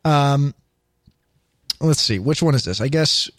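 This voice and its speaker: A man co-hosting a podcast, quick and jokey in casual chat. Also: mid-range voice, lively intonation, a bit bright, no echo, studio quality. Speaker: podcast co-host.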